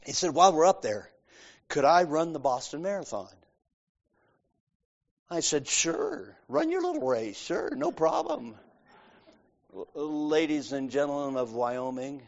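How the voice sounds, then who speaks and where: A middle-aged man preaching a sermon, telling a story with acted-out voices and big swings between loud and soft. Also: a little high. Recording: dull lo-fi sound.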